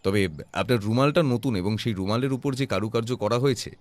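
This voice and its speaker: An actor playing a calm, observant detective who explains things in a measured, self-assured way in an audio drama. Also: male voice, mid-range voice, no room sound, natural voice. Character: calm, observant male detective, patiently explaining his deductions.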